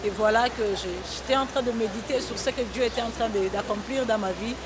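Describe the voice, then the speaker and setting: High-voiced woman, earnest testimony, noisy audio. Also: a little fast. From Congo-Zambia border region. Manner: earnest, testimonial, with hesitant restarts. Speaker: gospel singer. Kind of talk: interview answers on a talk show. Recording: noisy broadcast recording.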